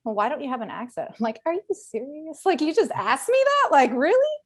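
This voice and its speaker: A woman's voice: high voice, very animated, brisk, no room sound, clean studio sound. A woman veteran speaking on a video call in a casual webinar panel, chatty with many fillers and restarts. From Northern Virginia, USA.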